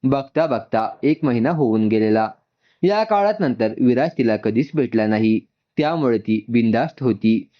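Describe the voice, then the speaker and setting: Male storyteller, scripted narration, lively intonation, mid-pitched voice, slightly muffled lo-fi recording. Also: normal speed.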